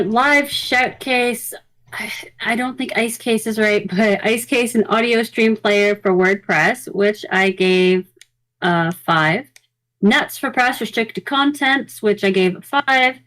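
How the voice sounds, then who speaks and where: A woman's voice: on the high side, takes it slowly, a bit bright, poor audio. A woman co-hosting a podcast, speaking in a casual, conversational style. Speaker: podcast co-host.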